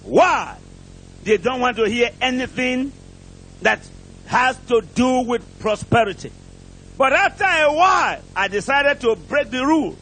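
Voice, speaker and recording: High-pitched, emphatic male preacher. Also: expressive, unhurried, sharp tone, a little hiss or hum, low-quality recording. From outside England, preaches there as a visitor. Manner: emphatic, declamatory, repetitive short phrases with rhetorical questions. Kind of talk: impassioned prosperity sermon. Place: church pulpit, preaching to a congregation.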